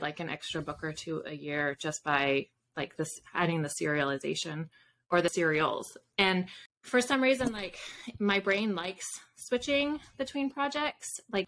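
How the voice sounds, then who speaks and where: A woman's voice: on the low side, lively intonation, moderate pace, a bit bright, slight background noise, low-quality recording. A woman in her thirties chatting casually as an interview guest on a video call. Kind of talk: casual chat. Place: indoors in a room with bookshelves, on a video call.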